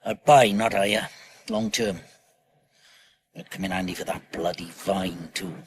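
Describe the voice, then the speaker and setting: A bossy, edgy British robbery boss in a radio crime drama. His voice sits fairly low, and he hands out orders in clipped, commanding lines over clearly noisy outdoor ambience. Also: steady tone, a little slow. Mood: grim, vengeful. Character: bossy, edgy male leader of a robbery crew.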